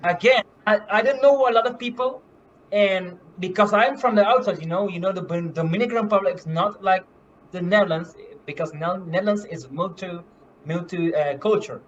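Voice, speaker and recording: A man from Curaçao, a medical missionary, giving an earnest, halting faith testimony full of fillers and restarts. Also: high voice, highs cut off, slight background noise. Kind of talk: personal faith testimony in a live stream.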